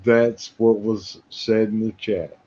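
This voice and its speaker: A man's voice: fairly low pitch, little pitch movement, slow pace, darkish tone. A middle-aged man hosting a live show with casual, joking chat. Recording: dull lo-fi audio, clear noise, clicks.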